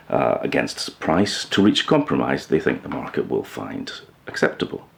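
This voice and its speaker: A man presenting an informal explainer video in a measured, matter-of-fact way. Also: very deep voice, gravelly, a little mellow. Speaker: host of a hi-fi upgrade video channel.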